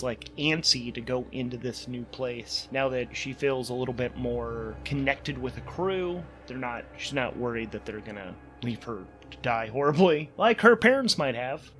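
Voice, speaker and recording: A man's voice: medium pitch, fairly bright tone, a little hiss or hum. A man hosting a solo tabletop game, thinking aloud informally and correcting himself as he goes, with ambience behind him. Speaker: solo game player and podcast host.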